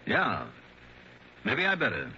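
A man's voice: mid-range voice, wildly swooping pitch, takes it slowly, heavy background noise. An actor playing a wry, worldly male insurance investigator in 1960s radio drama, with clipped hard-boiled storytelling. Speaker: narrator and lead actor of a detective radio series. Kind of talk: 1960s radio drama acting, first-person narration. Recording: dull vintage radio recording with music.

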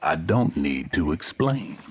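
An actor playing a gruff, stubborn loner police detective in an audio drama, curt and grumpy in a deadpan way. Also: male voice, sits low, takes it slowly, exaggerated voice. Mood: curt, irritated. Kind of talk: audio fiction acting.

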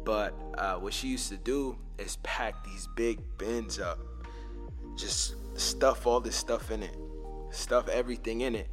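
Slangy man telling stories over faint music. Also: measured pace. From Montreal, Canada. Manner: loose slangy storytelling, drops to low asides. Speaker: podcast host. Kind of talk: casual storytelling.

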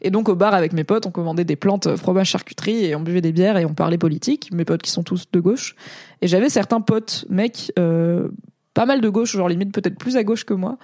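A woman's voice: lowish voice, a little fast, warm tone, no room sound. Woman, from France, rambling casual talk, street slang, lots of hesitation, dead dry sound. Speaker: streamer reading chat and Reddit comments. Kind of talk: casual spoken commentary.

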